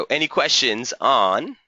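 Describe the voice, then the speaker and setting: Slightly hoarse man hosting a trading webinar. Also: mid-range voice, very animated, extremely slow, bright, forceful tone, dry recording, lo-fi sound. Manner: talks through charts while answering typed viewer questions. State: slightly hoarse, losing his voice. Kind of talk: live market analysis webinar.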